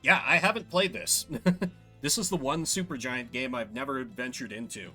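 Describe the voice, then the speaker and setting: A man's voice: mid-range voice, normal speed, pushed and bright, faint music behind. A man giving casual livestream game commentary, musing and thinking aloud as he plays. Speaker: streamer playing and voicing a game.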